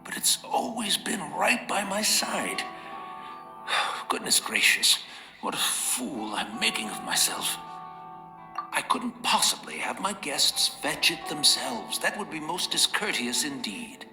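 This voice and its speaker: An actor playing a frail, courteous old tea master in a video game, speaking formally and deferentially with self-deprecating rambling. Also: male voice, a little high, big pitch swings, slow, gravelly, reverberant, music in the background, exaggerated voice, low-quality recording. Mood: flustered, apologetic. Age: older.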